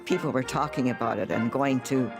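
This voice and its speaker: A woman in her nineties answers interview questions modestly, with a slight southern twang. Music plays clearly beneath her voice. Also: very deep voice, a little fast. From Saskatchewan, Canada. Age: 90s. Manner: modest, reminiscing. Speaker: interview guest.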